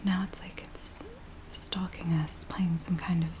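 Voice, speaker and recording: A woman playing a frightened villager in a soft, breathy, whispered ASMR roleplay, speaking in a hushed, hesitant way. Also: fairly low pitch, natural voice. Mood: uneasy. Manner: hushed, hesitant, slow storytelling. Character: frightened village woman pleading with a werewolf tracker. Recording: steady rain-like background noise. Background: rain sounds.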